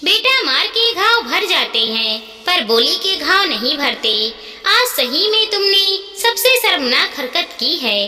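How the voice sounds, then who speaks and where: A woman storyteller voicing a middle-aged mother-in-law with a measured, admonishing manner in a moral story. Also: very high-pitched, sing-song, bright, forceful tone, recorded from a distance, full character voice. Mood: reproachful. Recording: echoey room with long reverb.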